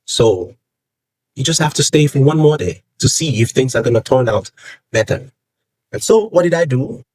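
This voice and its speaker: A man's voice: medium pitch, expressive, normal speed, darkish tone, husky, dry recording, low-quality recording. A young man from Nigeria with a Yoruba accent, giving earnest personal advice in a vlog and repeating phrases for emphasis. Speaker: vlogger. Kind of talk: personal advice talk to camera.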